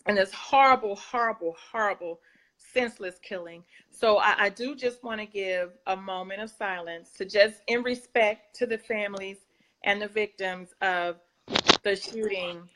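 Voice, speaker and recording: Woman, talk host, mid-pitched, animated, repeats words for emphasis. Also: moderate pace, rough recording. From Southern United States. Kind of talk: casual talk show chat.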